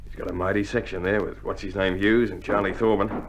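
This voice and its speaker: An actor playing a seasoned, gruff older Australian infantryman in 1960s radio drama style, trading slangy, wry banter. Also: level tone, brisk, close to an everyday voice. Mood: wry, steady. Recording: noisy old vinyl radio drama, battle rumble.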